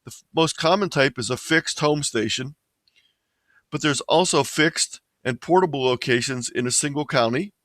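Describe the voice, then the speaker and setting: A man's voice: medium pitch, normal speed, a bit bright, dry recording. A man presenting an informational webinar from slides in a plain, explanatory way.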